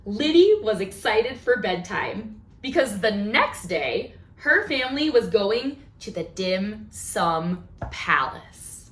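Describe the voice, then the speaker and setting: A woman's voice: mid-range voice, very animated, slow, fairly bright tone. A woman hosting a children's storytime, reading a picture book aloud expressively, with questions and exclamations of wonder for young listeners. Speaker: children's library storytime host.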